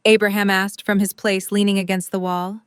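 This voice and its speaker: A woman's voice: mid-range voice, level tone, moderate pace, clear, resonant tone, no echo, clean studio sound. A woman narrating a scripted audio drama story in prose.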